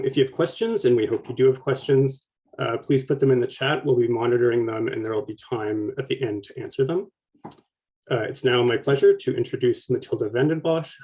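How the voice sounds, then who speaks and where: Man, webinar host, warm dark voice, steady pace with fillers. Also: medium pitch, poor audio. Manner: measured, many uh and um fillers. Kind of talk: introducing a speaker.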